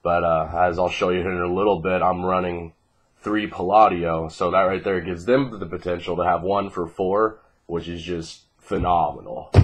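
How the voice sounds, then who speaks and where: A man's voice: low-pitched, slightly rough. A man in his twenties with a groggy voice, giving a casual, sweary card deck profile in a laid-back way. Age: 20s. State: groggy, congested from fighting off a cold. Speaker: card game player presenting a deck. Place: small living room at home, indoors. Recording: noisy home webcam recording with clicks.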